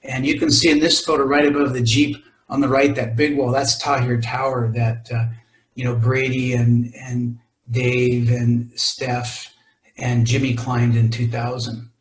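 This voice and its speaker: A man presenting a mountaineering talk over photos, halting and full of fillers and restarts. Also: mid-range voice, little pitch movement, echoey room, low-quality recording. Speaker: expedition climber presenting. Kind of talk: presentation to a club audience.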